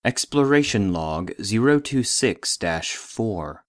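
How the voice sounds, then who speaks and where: A man voicing an impersonal database, reading formal classified reports in a measured, clinical manner. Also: lowish voice, lively intonation. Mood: clinical, detached. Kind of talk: scripted narration of a formal report.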